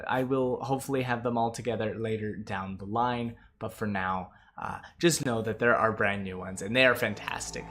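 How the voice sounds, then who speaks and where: Hoarse young man reading game patch notes. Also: medium pitch, sharp tone. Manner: casual, with frequent filler sounds and restarts. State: losing his voice, out of breath. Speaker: gaming video host. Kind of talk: game update commentary.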